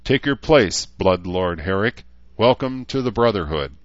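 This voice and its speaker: A man's voice: lowish voice, lively intonation, unhurried, dry recording, dull audio. A man voicing a solemn, commanding mayor and military leader in an audiobook, projecting ceremonial orders.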